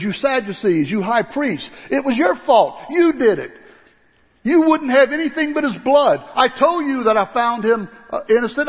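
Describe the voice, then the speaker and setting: A man playing a guilt-ridden Roman governor in a dramatic first-person monologue, swinging between hushed and loud delivery. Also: high-pitched, husky, heavily acted. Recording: dull lo-fi sound with long hall reverb.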